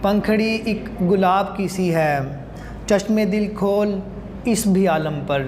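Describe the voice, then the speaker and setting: Through a roomy, echoing hall and noticeable noise, a man recites poetry. His pitch is high for a man. He delivers each line in measured, declamatory phrases. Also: darkish tone. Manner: measured, repeating lines declamatorily. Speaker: student. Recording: very echoey hall, clear background noise.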